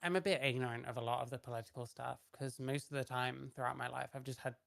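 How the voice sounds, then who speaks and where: A young British man, a podcast guest, talking in a hoarse, laid-back and hesitant way, tired late at night. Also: medium pitch, fairly even intonation, fast talker, slight background noise. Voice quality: hoarse, losing voice. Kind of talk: casual chat.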